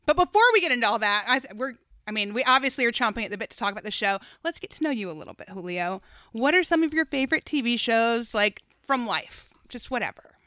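A woman hosts a casual podcast chat in a slightly high, crisp voice. She is informal and emphatic, slangy in her phrasing, and recorded dry and close. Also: expressive, quick pace, hardly any pauses, bright, forceful tone, dry recording. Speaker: podcast host.

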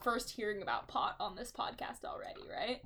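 A woman's voice: mid-range voice, animated, a little fast, bright, forceful tone, slight background noise. A woman co-hosting a casual movie podcast, teasing and playful. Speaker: podcast co-host.

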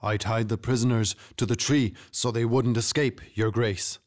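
A man's voice: medium pitch, steady tone, moderate pace, a little mellow, close to an everyday voice. A man voicing a dutiful tiger warrior guard in a storybook, formal and submissive before royalty. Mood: deferential.

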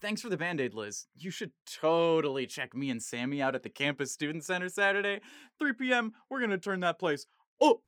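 A man's voice: on the high side, expressive, a little fast, fairly bright tone, no room sound, clean studio sound. A young man acting in an audio drama as an eager, slangy slacker who stammers awkwardly. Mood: eager, showing off. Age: young adult. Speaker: actor in a student audio drama.

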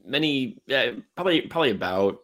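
Stammering man with animated, swooping pitch. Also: fairly quick. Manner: stammers and repeats words. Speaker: podcast co-host. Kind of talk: casual film discussion.

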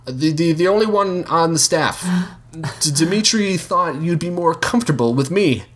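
A man's voice: medium pitch, lively intonation, takes it slowly, fairly bright tone, pitched above the performer's own voice. Man playing jittery young guy, stammers, voice jumps up and down. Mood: flustered. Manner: stammering, hesitant. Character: nervous young male waiter, stammering.

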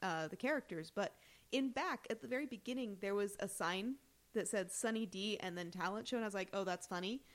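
A woman with a medium-pitched voice talks as a podcast co-host. She is informal and chatty, explaining things in a playful, conversational way. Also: brisk. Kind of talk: casual TV episode discussion, chatty.

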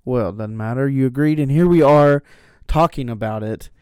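A man co-hosting a church podcast in casual chat, with a dry, teasing wit and quick jokey asides. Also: medium pitch, darkish tone, clean tone, dry recording. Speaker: podcast co-host.

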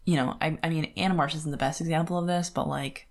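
A woman with a fairly low voice speaks as a podcast co-host. She talks casually and with opinions, in a loose conversational style full of filler "like". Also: fairly even intonation, fast. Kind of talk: casual book discussion.

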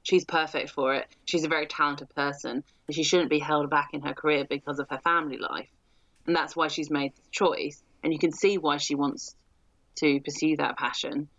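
Low-voiced woman in a dry, dull recording. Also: steady tone, moderate pace, a bit bright, no echo. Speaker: film discussion podcast host. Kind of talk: casual chat, film discussion. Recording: dull, slightly lo-fi microphone sound.

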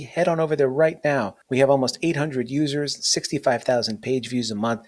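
Rambling self-mocking man in his forties. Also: medium pitch. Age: 40s. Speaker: podcast host. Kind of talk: casual interview chat.

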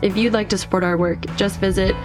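Female, medium pitch, scripted podcast announcement read over clear guitar backing. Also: steady tone, quick pace. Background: guitar music.

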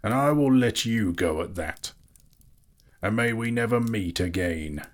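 A man voicing a proud, bitter dwarf king in a dramatic storybook reading, gruff and imperious, declaiming slowly and scornfully. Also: fairly low pitch, lively intonation, voice pushed up. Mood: grim, bitter.

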